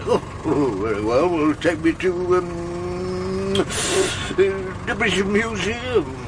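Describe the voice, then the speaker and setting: An actor playing a gentle, absent-minded elderly clergyman in a radio drama, hesitant and stammering. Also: sits high, drawn-out words, very noisy. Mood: flustered, vague. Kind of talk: BBC radio drama acting.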